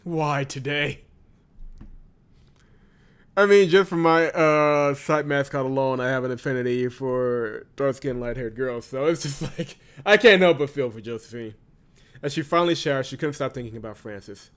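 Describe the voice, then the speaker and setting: A man's voice: mid-range voice, normal speed, clean tone. A man hosting a visual novel playthrough, reading the narration aloud and reacting casually. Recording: loud game music behind voice. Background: game music.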